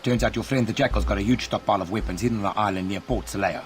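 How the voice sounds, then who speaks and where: A man's voice: on the low side, very fast, slight background noise, natural voice. A man playing a jaded veteran mercenary in a video game, grim and confiding in manner. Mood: bitter, resolute. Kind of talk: video game cutscene acting.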